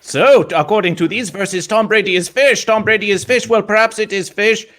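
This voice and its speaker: A man's voice: sits high, brisk, fairly bright tone, slight background noise. A man playing a quirky third-person mascot character. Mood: playful. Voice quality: stilted odd grammar, constant "yes". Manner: theatrical, swooping storytelling delivery. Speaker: guest. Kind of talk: in-character livestream chat.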